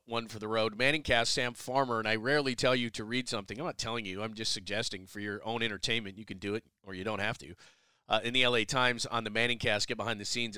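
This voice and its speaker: A man hosting a sports podcast, slightly hoarse, firing off quick opinions with self-mocking asides. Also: lowish voice, sharp tone, no echo. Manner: brash, rapid-fire opinions with self-deprecating asides. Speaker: sports radio host. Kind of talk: solo sports podcast commentary.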